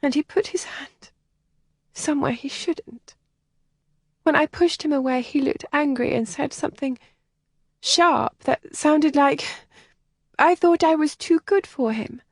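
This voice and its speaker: A woman narrator voicing a shy, distressed young woman who speaks in a breathy, trembling, often whispered voice. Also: sits high, a little slow, long pauses, no echo, studio quality, pitched above the performer's own voice. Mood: tearful. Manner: pleading and anxious. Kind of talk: audiobook character voicing.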